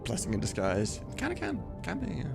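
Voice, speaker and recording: A low-pitched man in his thirties talks off the cuff to his live stream audience. His delivery swings widely up and down as he rambles candidly, with music clearly playing behind him. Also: huge pitch swings, a little fast. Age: 30s. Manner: rambling, candid, swears casually. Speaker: live streamer, game dub maker. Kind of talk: casual live stream chat.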